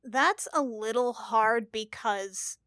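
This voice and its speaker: A woman with a fairly high voice chats casually as a podcast player. The sound is very dry, with no room around it. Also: slow, fairly bright tone, no room sound. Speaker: player on an actual-play podcast. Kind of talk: casual panel chat.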